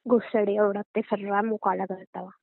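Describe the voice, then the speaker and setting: A woman's voice: medium pitch, level tone, brisk, vocal fry, no room sound. A young woman bantering casually as a girlfriend on a phone call, sassy, curt and mocking. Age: young adult. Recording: dry, narrow tinny phone-line sound.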